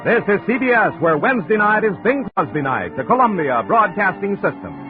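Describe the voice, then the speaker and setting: A man's voice: high voice, big pitch swings, fairly quick. A man with an American accent giving a polished promotional announcement as a 1950s radio network announcer. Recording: tinny 1950s radio, music behind.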